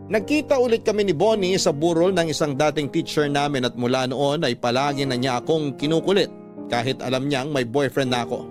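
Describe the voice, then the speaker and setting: A man delivering scripted dramatic narration as a radio drama storyteller. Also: a little high.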